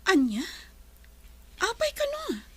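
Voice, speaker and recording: A woman acting a sorrowful, kindly young resort owner in an Ilocano radio drama, soft and earnest. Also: extremely high pitch, wildly swooping pitch, takes it slowly, dry recording, heavily acted. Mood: concerned.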